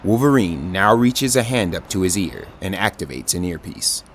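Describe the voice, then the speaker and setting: A man playing the steady narrator of an audio drama, reading scripted scene descriptions. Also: sits low, moderate pace, slight background noise. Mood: descriptive.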